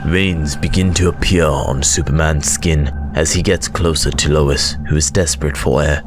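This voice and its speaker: A man reading scripted dramatic narration, telling the story in a measured, suspenseful way. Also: a bass voice, steady tone. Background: loud dramatic music.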